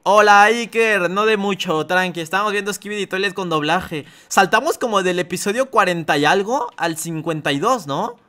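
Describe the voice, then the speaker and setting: A man's voice: high voice, moderate pace, few pauses, pushed and bright. A man giving casual live reaction commentary as a streamer. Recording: music under the voice.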